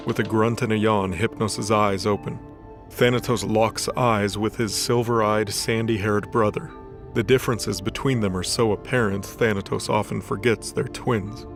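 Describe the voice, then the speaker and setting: A man's voice: on the low side, steady tone, normal speed. A man narrating a scripted dramatic story as the storyteller of an audio drama. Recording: voice over background music.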